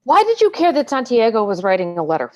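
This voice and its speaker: A woman's voice: a little high, huge pitch swings, fast talker, dull audio. A woman acting an exasperated, combative military defense lawyer in a stage play read-through, pressing hard with sarcastic questioning. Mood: angry, insistent.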